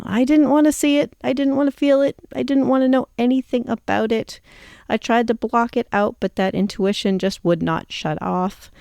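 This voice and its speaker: A woman's voice: fairly high pitch, creaky, dark tone, no room sound, clean studio sound. A woman in her fifties hosting a show, telling a personal story in a reflective, conversational way. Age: 50s. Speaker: show host.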